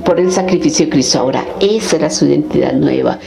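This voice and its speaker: A woman giving religious teaching in a halting, phrase-by-phrase way, recorded in a large hall. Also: low voice, creaky, a crowd in the background. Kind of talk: live religious teaching, interpreted. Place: large meeting hall, indoors. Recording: roomy, noisy hall recording.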